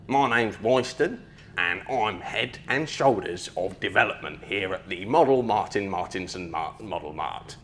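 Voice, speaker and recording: A man playing an earnest, bumbling model train company man who presents in a halting way, in a mock corporate comedy. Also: medium pitch, bright, forceful tone.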